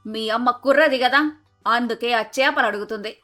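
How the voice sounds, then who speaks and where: A woman voicing sharp-tongued, sarcastic village in-laws who scold and bicker in a dramatised moral story. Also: a little high, normal speed, faint music behind. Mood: grumbling. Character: sharp-tongued bickering mother-in-law and daughter-in-law in village household.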